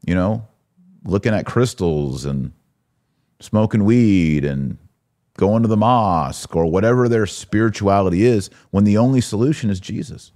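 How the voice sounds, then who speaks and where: A man's voice: lowish voice, animated, normal speed, darkish tone. A man hosting a religious podcast, speaking in an emphatic, exhorting way with rhetorical questions. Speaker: podcast host. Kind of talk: religious discussion, preaching commentary.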